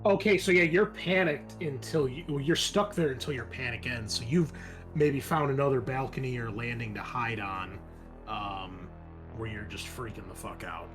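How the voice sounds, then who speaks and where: A man's voice: mid-range voice, moderate pace, fairly bright tone. A man working as game master, narrating a tabletop roleplaying game and explaining the rules in an informal, sweary way. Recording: music playing behind the voice. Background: background music.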